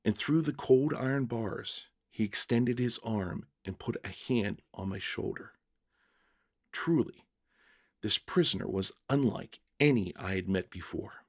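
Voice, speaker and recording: A man narrating a short story in the first person, in a measured, reflective and dramatic reading. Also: lowish voice, husky, dry recording, clean studio sound.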